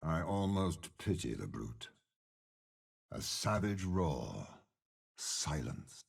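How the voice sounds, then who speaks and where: An actor playing a commanding, cunning older general in a video game, with a raspy voice and a measured, imperious delivery. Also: male voice, sing-song, heavily acted. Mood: grimly commanding. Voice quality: deep gravelly rasp. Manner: slow, grandiose, measured, imperious. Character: commanding older male warlord general, cold, cunning, menacing. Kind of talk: video game character voice lines.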